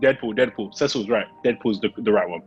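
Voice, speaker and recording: A man's voice: fairly low pitch, fairly quick, highs cut off, over music. A man giving blunt, opinionated takes in casual chat. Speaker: podcast panel member.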